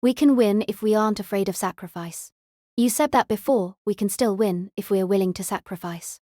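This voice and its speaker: An emotionless young woman who speaks in a flat, level way, even while teasing, as a narrator puts her on. Her mid-pitched voice sounds dry and close to the mic. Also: brisk, no room sound, lightly acted. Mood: cool. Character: calm, deadpan young woman student.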